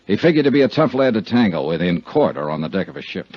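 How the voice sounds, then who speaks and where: Hardboiled insurance investigator, low wry voice that moves around a lot, 1950s radio. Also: male voice, very animated, fast talker. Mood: wry, suspicious. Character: shrewd, skeptical male insurance investigator narrating his case. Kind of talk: 1950s radio acting, hardboiled first-person narration. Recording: muffled, noisy 1950s radio recording.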